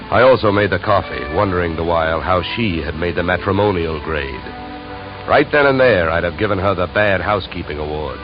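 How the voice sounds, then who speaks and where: An actor playing a wry, deadpan insurance investigator in a 1950s radio detective drama, brisk and wisecracking. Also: male voice, low-pitched, lightly acted. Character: wry, hard-boiled male insurance investigator, narrating his case. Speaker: lead actor and narrator. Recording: dull vintage radio recording, music behind.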